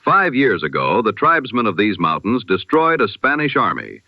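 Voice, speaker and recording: Through a dull, band-limited old radio filter, a man announces the news in the style of a 1920s bulletin. His voice sits a little low and moves expressively up and down. The delivery is formal, solemn and oratorical, with very little room sound. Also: wildly swooping pitch, moderate pace, dry recording.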